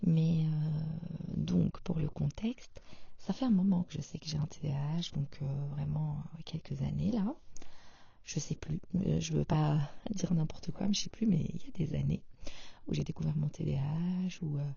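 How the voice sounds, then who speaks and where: Woman podcast host, dark husky voice, soft hesitant confiding delivery, dry dull recording. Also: low voice, moderate pace, no room sound. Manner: soft, half-whispered and hesitant, with many fillers. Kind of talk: intimate personal monologue.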